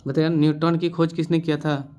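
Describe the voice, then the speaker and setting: A man's voice: on the high side, flat delivery, brisk, mellow, muffled recording. A man teaching as a quiz host, reading out questions and answers in an instructional way and repeating the answers. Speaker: quiz teacher for exam preparation.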